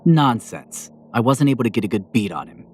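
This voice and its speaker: An actor playing a stern, restrained fallen angel assassin with a gentle streak, in a measured deadpan, in an audio drama. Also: male voice, mid-range voice, a little hiss or hum, close to an everyday voice. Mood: dry, calm.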